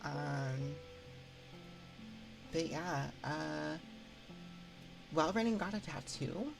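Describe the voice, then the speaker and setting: A woman streamer talks casually to her viewers in a low voice edged with vocal fry. She takes her time, hesitating and rambling in a giggly manner as she speaks into a binaural microphone. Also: animated, drawn-out words. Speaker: streamer hosting an ASMR livestream. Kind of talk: casual livestream chat with viewers. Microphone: binaural 3Dio ASMR microphone. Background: music.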